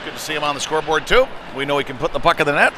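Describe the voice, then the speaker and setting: A man's voice: fairly high pitch, very fast. A man doing live play-by-play of a youth hockey game in short, clipped running phrases. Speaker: play-by-play commentator. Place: live at an ice hockey venue. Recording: noisy rink, clear background noise.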